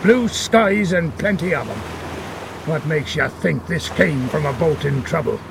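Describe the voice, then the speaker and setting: Gravelly, impatient sea captain. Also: male voice, on the high side, animated, a little slow. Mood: scornful. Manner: brusque, barking, scornful. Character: gruff, impatient, weathered ship's captain. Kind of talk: 1930s-style radio adventure acting. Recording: noisy sea and boat engine ambience.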